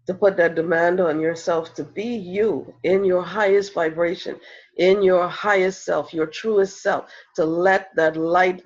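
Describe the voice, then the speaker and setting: Nasal man with a cold, preaching. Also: sits high. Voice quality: slightly nasal. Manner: earnest, repeating rhetorical questions. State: has a head cold. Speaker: spiritual teacher. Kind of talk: inspirational spiritual talk. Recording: dull lo-fi recording.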